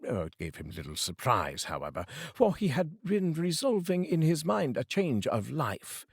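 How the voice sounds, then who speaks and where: A man reading a classic story aloud as narrator, dramatic and expressive. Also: fairly low pitch, husky, no room sound, clean studio sound.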